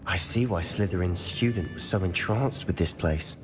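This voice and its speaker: A man's voice: sits low, moderate pace, lightly acted. A man playing an eager, loyal teenage wizard schoolboy in a video game, earnest and conspiratorial, with a British accent. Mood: earnest, persuasive. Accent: British English.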